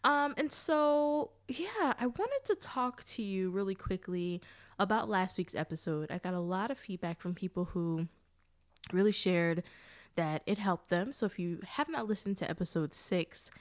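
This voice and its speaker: A woman's voice: mid-range voice, lively intonation, a little slow. A woman hosting her own podcast in a casual solo chat, friendly and talkative, sounding nasal and congested from allergies, with frequent laughs and sisterly asides. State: congested, blocked sinuses. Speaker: podcast host.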